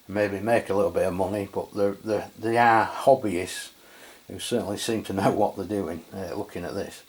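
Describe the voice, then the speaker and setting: A man's voice: fairly low pitch, a little mellow. A man with an English accent giving a casual hands-on product review in an informal, explanatory way. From England. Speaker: radio reviewer and channel host.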